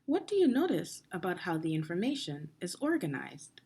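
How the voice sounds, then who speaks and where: A woman narrating an instructional children's read-along as a teacher, phrasing deliberately and guiding young listeners through the text. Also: fairly low pitch, big pitch swings, mellow, slightly rough. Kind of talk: instructional read-aloud of a children's nonfiction book.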